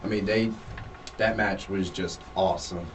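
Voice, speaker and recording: A lower-voiced man on a casual podcast, walking through events in an even, matter-of-fact manner. He is picked up from some distance, with faint room noise around him. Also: moderate pace. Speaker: podcast co-host. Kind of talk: casual chat.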